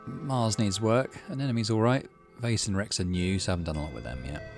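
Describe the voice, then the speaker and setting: A man hosting a gaming video, casually musing to himself in a low-key way and reading story text aloud as he plays. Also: a little fast, over music.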